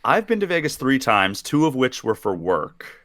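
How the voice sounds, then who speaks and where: A man's voice: mid-range voice, big pitch swings, moderate pace, crowd noise. A man from Maryland co-hosting a casual livestream, chatty and rambling, laughing easily. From Maryland, USA. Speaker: magazine livestream co-host. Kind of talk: casual chat.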